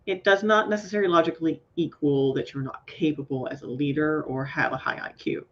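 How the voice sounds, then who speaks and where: A woman with a Southern American accent hosting a career chat, speaking deliberately in a low, somewhat nasal tone. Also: fairly even intonation, muffled recording, slight background noise. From Southern United States. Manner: deliberate, carefully enunciated speech. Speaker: career coach, host. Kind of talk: casual chat.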